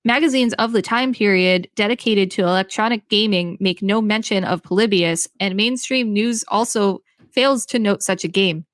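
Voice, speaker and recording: A woman co-hosting a podcast, reading an encyclopedia article aloud in a clear, measured way with brief reactions. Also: mid-range voice, no echo, clean studio sound.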